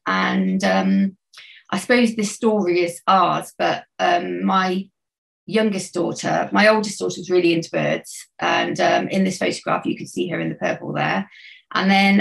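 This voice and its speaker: Woman, low gravelly voice, hesitant speaker on a video call. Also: poor audio. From England. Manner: hesitant, many fillers. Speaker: panel speaker. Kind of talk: casual personal talk.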